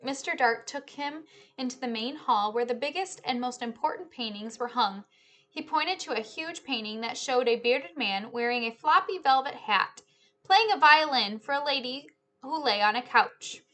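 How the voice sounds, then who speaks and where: A woman's voice: high-pitched, animated, normal speed, fairly bright tone. A woman in her thirties reading a children's book aloud and explaining it to young listeners at home. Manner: addresses listeners as friends, explanatory. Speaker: teacher-style read-aloud host. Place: small living room or home office, indoors.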